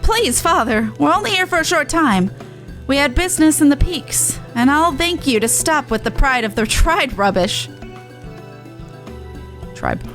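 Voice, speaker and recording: A young catfolk resistance fighter, acted by a woman, frank and tender-hearted underneath. Her voice is high and her speech colloquial, over soft game music. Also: lively intonation, a little slow. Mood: exasperated. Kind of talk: video game dialogue read aloud.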